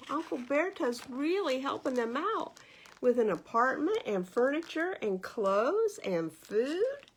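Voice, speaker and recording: A woman with a fairly high voice reads a children's story aloud. She takes it very slowly, giving each phrase room. Her delivery is expressive, as a storytime librarian would be, and she speaks directly to her young listeners. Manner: expressive, asking listeners questions. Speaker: librarian reading stories to children. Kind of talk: read-aloud children's storytime narration with asides.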